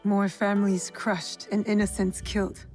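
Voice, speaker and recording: A woman's voice: medium pitch, little pitch movement, normal speed, darkish tone, soft background music, lightly acted. Game role: direct, guarded young female shinobi acted by a woman, dryly wry, medium register. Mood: sober. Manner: cool, direct, restrained. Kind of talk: video game cutscene acting.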